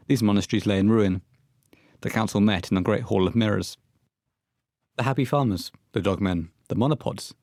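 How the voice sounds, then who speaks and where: A man's voice: lowish voice, gravelly, a little mellow, no echo. A man reading scripted horror story narration for an audio play, close on the mic.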